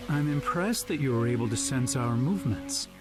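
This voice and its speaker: An actor playing a cool, calculating villain in a video game dub, formal and detached in manner. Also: male voice, medium pitch, animated, normal speed, close to an everyday voice. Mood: coolly impressed. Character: cool, calculating male villain, polite and composed. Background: dramatic game music.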